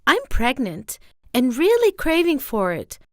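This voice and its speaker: A woman's voice: high-pitched, wildly swooping pitch, measured pace, a little mellow, no room sound, clean studio sound, heavily acted. An actress playing a young pregnant mother in a fairy tale cartoon, eager, demanding and theatrical. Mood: eager craving.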